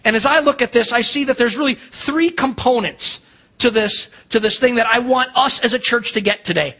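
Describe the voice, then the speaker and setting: A man's voice: very high voice, normal speed, pushed and bright, husky. A middle-aged man with an American accent preaching a sermon as a pastor, emphatic, posing rhetorical questions and acting out dialogue. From United States.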